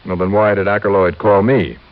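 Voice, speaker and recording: An actor as a low-voiced, hard-boiled radio detective. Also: male voice, little pitch movement, moderate pace, close to an everyday voice. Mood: determined. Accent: American. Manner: clipped, insistent, hard-boiled. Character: brisk, persistent male freelance insurance investigator. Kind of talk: 1950s American radio detective drama acting and narration. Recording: dull old radio recording with music.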